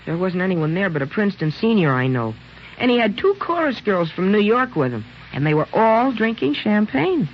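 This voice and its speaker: Lovesick, excitable American teenage boy. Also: sits high, moderate pace, slight background noise. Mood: boastful. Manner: earnest, theatrical, hesitant and exclamatory. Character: lovesick, dramatic, boastful sixteen-year-old boy in 1906 America. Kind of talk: old-time American radio drama acting. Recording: dull lo-fi old radio broadcast.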